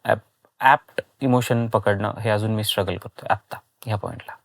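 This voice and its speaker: A man's voice: fairly low pitch, steady tone, normal speed, vocal fry, dry recording, clean studio sound. A man with an Indian accent, a voice actor and podcast guest, explaining step by step in casual talk with frequent check questions.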